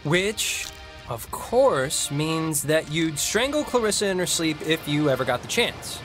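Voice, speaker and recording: Game role: goofy, chatty teen boy, high-pitched and animated, light music behind. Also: a little slow. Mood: teasing. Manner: playful, jokey, excitable. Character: chatty, goofy, eager teenage boy, cheeky joker. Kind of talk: naturalistic video game voice acting.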